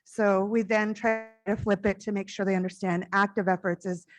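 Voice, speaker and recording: A middle-aged woman speaks at a stage microphone to a conference. Her voice is naturally loud and carries well, and she sits at an ordinary pitch for a woman. She talks in an earnest, heartfelt way that sometimes rushes forward in quick bursts. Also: little pitch movement, faint music behind. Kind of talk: conference keynote address.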